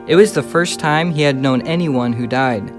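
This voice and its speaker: A man's voice: medium pitch, normal speed, music in the background. A man narrating a scripted children's story as a storyteller.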